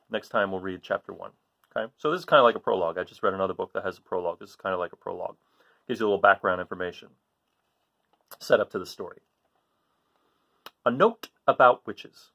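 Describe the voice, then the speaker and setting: A man's voice: lowish voice, fairly bright tone. A man reading a children's book aloud in a theatrical, emphatic way, drawing out phrases for suspense. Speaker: storybook reader for children.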